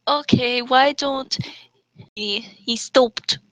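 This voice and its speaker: A woman's voice: sits high, very slow, a bit bright, no room sound, rough recording. A woman co-hosting a comedic dramatic reading of fan fiction, adding sarcastic asides.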